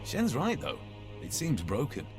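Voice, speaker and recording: A man's voice: medium pitch, wildly swooping pitch, a little slow, vocal fry, over music, exaggerated voice. A man playing an ominous, dry, watchful warrior in a fantasy video game.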